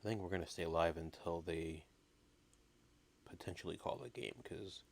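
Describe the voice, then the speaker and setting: A man with a very low voice and a gravelly creak hosts a casual live baseball stream. He sounds a little raspy, as if recovering from an illness. His play-by-play is low-key and unhurried. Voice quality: slightly raspy. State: getting over an illness, coughing.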